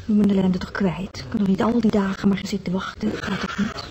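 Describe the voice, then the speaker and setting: An actress playing an earnest, frustrated wife at a hospital bedside, pleading intensely in a naturalistic radio drama. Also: female voice, mid-range voice, fairly even intonation, fast talker, dull audio, background noise, close to an everyday voice.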